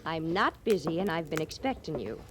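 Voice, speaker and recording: Frontier woman, vintage radio western: composed, wry, understanding, lowish pitch, teasing lightly, slight recording noise. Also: big pitch swings, fairly quick. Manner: gently teasing, self-possessed. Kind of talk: 1950s radio western acting.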